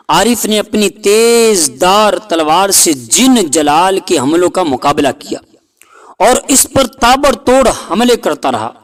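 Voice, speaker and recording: A man with a notably high voice narrates a folk tale. He takes it very slowly and deliberately, giving the story a dramatic delivery.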